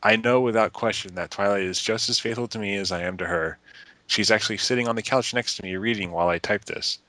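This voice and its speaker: Bright, low-voiced man reading aloud. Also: fast talker, no room sound. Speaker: reader of a letter to friends.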